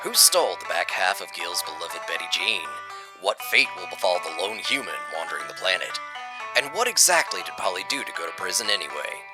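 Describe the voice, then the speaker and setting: A man's voice: sits low, sing-song, brisk, loud music behind the voice. A man playing an arch, melodramatic announcer narrating cliffhangers in the style of an old-time serial. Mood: arch, suspenseful. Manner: theatrical, arch.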